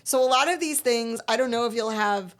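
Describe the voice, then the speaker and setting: Playful woman podcast host, higher voice. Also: fairly quick. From Chicago. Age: 30s. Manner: playful, laughs often. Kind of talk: casual chat.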